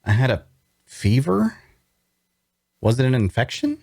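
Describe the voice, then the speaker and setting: A man's voice: medium pitch, big pitch swings, measured pace, creaky, a little mellow, breathy edge, no echo, studio quality, full character voice. A man playing a weary, wary wounded prisoner who also narrates his story in an audio drama table read. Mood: wary, hesitant.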